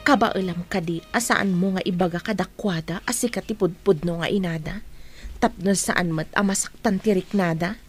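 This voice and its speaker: An actress playing an earnest younger woman in a radio drama, concerned and questioning in a gently confronting way. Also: fairly low pitch, normal speed, faint music behind, lightly acted. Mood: earnest, pressing.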